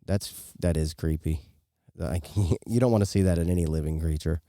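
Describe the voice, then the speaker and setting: A man's voice: deep voice, normal speed, mellow, no room sound, clean studio sound. A man co-hosting a podcast in casual chat, with a loose, rambling, joking way of talking. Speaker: podcast co-host.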